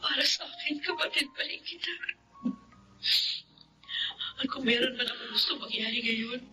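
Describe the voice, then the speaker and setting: High-voiced, earnest younger sister. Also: female voice, normal speed, sharp tone, faint music behind, rough recording. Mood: tearful, pleading. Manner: earnest, emotional delivery. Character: kind, forgiving married younger sister, tearful and devout. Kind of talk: Filipino radio drama acting.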